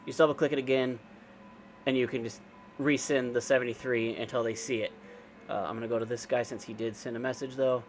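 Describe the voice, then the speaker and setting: A man giving an informal, unscripted software walkthrough, explaining step by step in a casual way. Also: mid-range voice, fairly even intonation, fast, faint music behind. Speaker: amateur radio operator giving a software tutorial. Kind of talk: informal screen-share tutorial, unscripted walkthrough.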